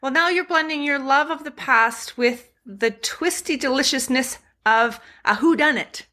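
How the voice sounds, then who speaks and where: A woman's voice: high voice, a little slow, pushed and bright. A woman from Canada hosting an interview, asking questions and affirming her guest's answers with enthusiasm. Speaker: interview host.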